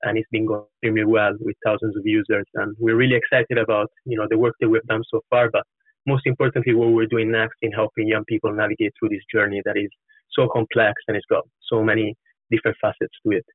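A man's voice: lowish voice, level tone, fast. A man in his twenties from Italy with an Italian accent, answering interview questions as a startup founder in an earnest, explanatory way. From Florence, Italy. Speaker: startup co-founder, podcast guest. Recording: roomy, narrow muffled call-like sound.

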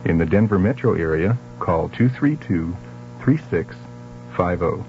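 A man's voice: low-pitched, expressive, a little slow, a little mellow, slight background noise. A man giving a scripted public service announcement on vintage radio. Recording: dull vintage radio, faint music.

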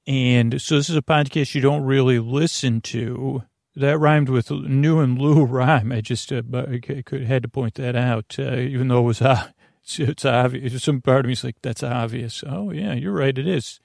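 A middle-aged man hosting a sleep podcast, rambling whimsically and haltingly, often interrupting himself and drifting off topic in a gravelly voice. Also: mid-range voice, darkish tone, no echo, studio quality. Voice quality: gravelly, creaky. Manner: meandering, halting, self-interrupting, digressive. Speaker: podcast host.

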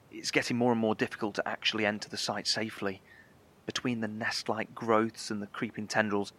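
An actor playing a measured, articulate male scientist survivor, broadcasting explanations from a bunker in a horror audio drama. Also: fairly low pitch, sharp tone. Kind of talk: in-character scripted radio broadcast monologue.

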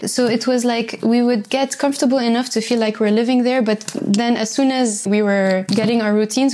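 A woman's voice: fairly high pitch, a little mellow, slight background noise. A Lebanese woman who grew up in Saudi Arabia, giving casual, candid and reflective answers as an interview guest, repeating phrases for emphasis. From Saudi Arabia, in a Lebanese family. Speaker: podcast guest, cookbook writer.

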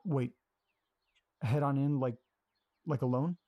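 Awkward nervous young man, hesitant and rambling, mellow voice, played by a man. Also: medium pitch, steady tone, slow pace, no echo, natural voice. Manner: hesitant, nervous, repeats himself. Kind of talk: audio drama acting.

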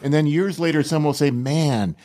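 A man's voice: a little high, brisk, mellow. An older man who leads a ministry, a studio guest talking in a playful but earnest way. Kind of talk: casual interview chat.

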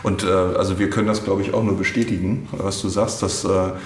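Male podcast host, lowish voice, casual halting chat, roomy sound with faint music. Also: level tone, a little hiss or hum. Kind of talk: casual unscripted podcast talk.

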